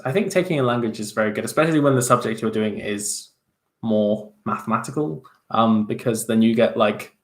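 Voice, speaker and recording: A man's voice: fairly low pitch, a bit bright. A young man chatting casually as he answers viewer questions on a livestream, self-deprecating, with many fillers and restarts. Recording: roomy echo.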